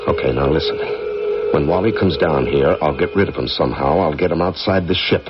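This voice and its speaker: Deep-voiced, guarded veteran astronaut. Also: male voice. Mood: tense, wary. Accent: American. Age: middle-aged. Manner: hesitant, guarded, halting. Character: uneasy, secretive veteran astronaut nearing retirement. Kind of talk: 1980s radio drama acting. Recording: lo-fi old radio broadcast, faint music.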